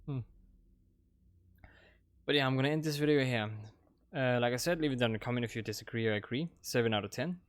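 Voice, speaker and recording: A man's voice: mid-range voice, fast talker, long pauses, no echo. A young man with a Danish accent, rambling casually with lots of fillers as he wraps up a game playthrough for his channel. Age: young adult. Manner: rambling, hesitant, with many fillers and restarts. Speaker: gaming channel host. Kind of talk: casual commentary wrapping up a playthrough. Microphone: desk microphone.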